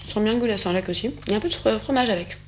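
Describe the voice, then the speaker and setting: A woman's voice: mid-range voice, racing, a little hiss or hum. A young woman co-hosting a food segment, chatting casually and enthusiastically while tasting, with many hesitations. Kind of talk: casual tasting chat on a show set.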